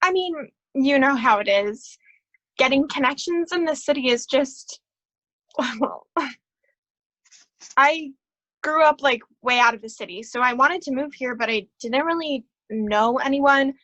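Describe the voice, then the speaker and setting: A polite, anxious woman played by an actress in a staged play reading, earnest and full of hesitations. Her voice is high and bright, in a dry recording. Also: measured pace, pauses often, no echo, poor audio. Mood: eager. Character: polite, apologetic, nervous woman accountant newcomer.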